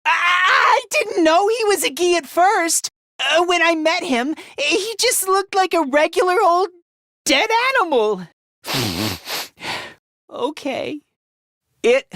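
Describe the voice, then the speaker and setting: A man's voice: very high voice, pushed and bright, no echo, studio quality, full character voice. A man voicing a timid, self-loathing, frantic young male fighter in an anime-style video game dub, stammering, self-deprecating and easily panicked. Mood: hesitant confession.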